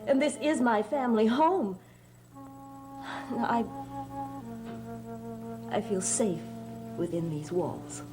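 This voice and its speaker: An actress playing a fragile, nervous, self-reproachful wife in a vintage gothic soap opera, with hesitant, theatrical phrasing. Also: female voice, fairly high pitch, very animated, slow pace, pauses often. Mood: anxious, self-reproachful. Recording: vintage TV soundtrack with loud music. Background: dramatic background music.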